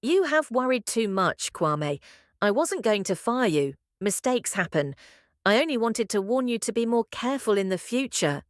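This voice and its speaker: A man's voice: sits high, big pitch swings, normal speed, dry recording. Storybook villain boss, male, older, coldly manipulative and commanding, acted by a woman; close, dry sound. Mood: falsely genial. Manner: patronising, commanding.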